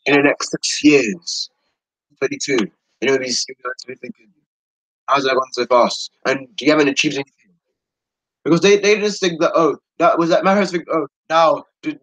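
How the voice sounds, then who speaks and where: A tired sixteen-year-old boy rambling casually to his viewers, halting, repeating himself and trailing off mid-sentence. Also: fairly high pitch, a bit bright, dry recording, rough recording. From United Kingdom. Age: 16, teenager. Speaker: video creator talking to subscribers.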